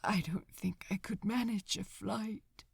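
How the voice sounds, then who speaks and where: A woman voicing a gentle, injured male angel, sorrowful, in a rough, breathy near-whisper. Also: medium pitch, fairly even intonation, takes it slowly, no echo, clean studio sound, heavily acted. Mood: miserable.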